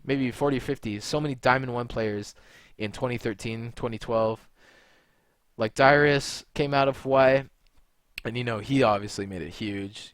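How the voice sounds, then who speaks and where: A man's voice: mid-range voice, no room sound. A young man from California talking in a rambling, blunt monologue, full of fillers and restarts. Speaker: video creator and gamer. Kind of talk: unscripted monologue to camera.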